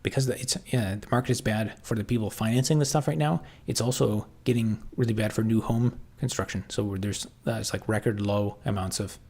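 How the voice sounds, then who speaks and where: A man with a Canadian accent chatting casually on a livestream, answering viewers and thinking aloud in halting, restarting sentences. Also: lowish voice, steady tone, a little mellow, slightly rough.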